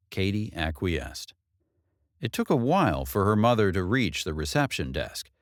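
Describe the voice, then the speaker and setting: A man reading a novel as an audiobook narrator, in a measured, expressive delivery with drawn-out phrases. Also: low voice, no echo, studio quality.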